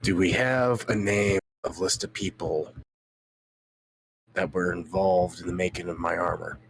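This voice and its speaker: A man playing in a tabletop game, speaking in character in a plain, steady way. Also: deep voice, measured pace, breathy edge, low-quality recording. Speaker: player.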